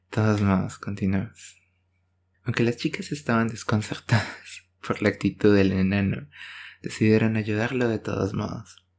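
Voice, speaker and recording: Breathy man telling bedtime stories. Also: lowish voice, a little mellow. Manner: affectionate, soothing boyfriend persona. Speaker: ASMR roleplay performer, storyteller. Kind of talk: intimate ASMR bedtime storytelling.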